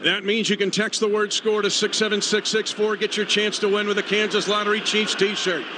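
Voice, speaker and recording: A man's voice: high-pitched, level tone, fairly quick. An American man calling live football play-by-play on the radio in clipped, urgent phrases. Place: stadium broadcast booth. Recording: noisy live stadium radio broadcast.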